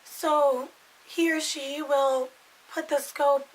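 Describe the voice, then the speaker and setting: High-pitched hoarse young woman. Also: flat delivery, slow, low-quality recording. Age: 20s. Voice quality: hoarse, raspy voice. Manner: rambling, loses her thread and repeats herself. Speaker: vlogger. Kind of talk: casual chat to camera.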